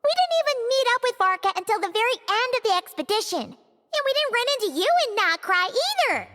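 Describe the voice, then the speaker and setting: A woman's voice: very high voice, big pitch swings, quick pace, heavily acted. A woman voicing a small girlish companion creature in a video game cutscene, blunt and suspicious, talking about herself in third person. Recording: long reverb over loud game music. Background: game soundtrack music.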